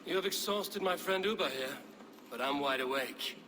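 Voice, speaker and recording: An actor playing a stern young Viking warrior in a game cutscene, terse and blunt. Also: male voice, on the high side, moderate pace, natural voice. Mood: taunting. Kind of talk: dramatic game cutscene acting.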